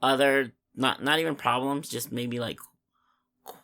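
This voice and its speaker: A podcast co-host casually discussing films in a halting way, often searching for words. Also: mid-range voice, fairly even intonation, a bit bright.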